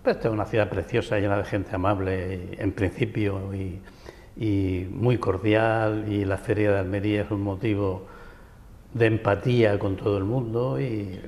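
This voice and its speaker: A man's voice: lowish voice, steady tone, normal speed, darkish tone, long reverb tail. A man from Barcelona with a Peninsular Spanish accent, a writer and architect giving long, winding answers as a guest in a TV interview. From Barcelona, Spain. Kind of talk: TV interview conversation. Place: local TV studio.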